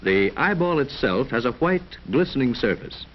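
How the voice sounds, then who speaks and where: A man's voice: medium pitch, sing-song. Dull, noisy 1940s film narrator, a man. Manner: formal, measured, didactic.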